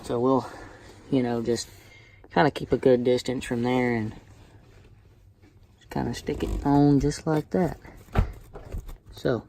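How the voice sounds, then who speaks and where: A plainspoken, folksy man giving a casual hands-on tutorial, talking hesitantly in short phrases with pauses. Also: medium pitch, gravelly, a little mellow. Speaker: how-to video presenter. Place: inside a parked car. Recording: noisy amateur recording with clicks.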